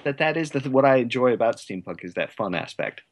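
A man's voice: medium pitch, lively intonation, fairly quick. A man speaking as an interview guest, conversational and hesitant, circling around his points with many hedges. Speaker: interview guest, writer and director.

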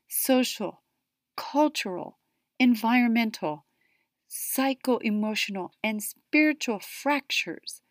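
A woman hosting an interview. She reads an introduction at a deliberate pace, then asks questions. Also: on the high side, no echo, studio quality. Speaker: interviewer and host.

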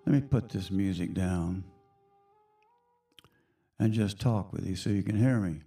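Hoarse guy hosting a spiritual radio show, slow and chatty, gravelly, soft music behind. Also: sits low. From United States. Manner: slow, reflective, chatty with the audience.

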